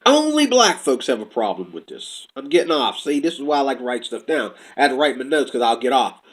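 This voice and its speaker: A man's voice: mid-range voice, very animated, brisk. A man giving a casual, rambling commentary monologue, talking from notes and drifting off topic, on faith and identity. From United States. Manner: rambling, talks from notes, puts on quoted voices.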